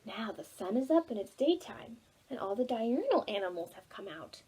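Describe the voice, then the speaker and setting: A woman reading a children's storybook aloud for preschoolers, dramatic and expressive, involving the young listeners. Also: on the high side, normal speed, breathy edge, rough recording. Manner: animated, dramatic, asks listeners questions. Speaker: children's library storytime reader.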